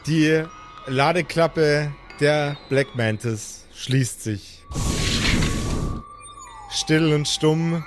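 A man's voice: a little high, fairly even intonation, drawn-out words, pauses often, over music. A man working as game master, narrating an improvised story.

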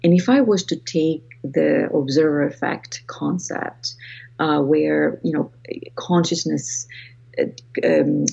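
Low husky Greek-accented woman. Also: slow, warm tone, muffled recording. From Greece. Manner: reflective, restarts phrases often. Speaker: author guest. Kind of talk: podcast interview.

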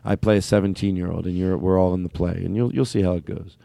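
A man's voice: sits low, fairly even intonation, fast, creaky, warm tone, no echo. A man in his thirties hosting a comedy show, rambling and playful, stammering and laughing as he jokes. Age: 30s. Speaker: comedy podcast host. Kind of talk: improvised comedy banter.

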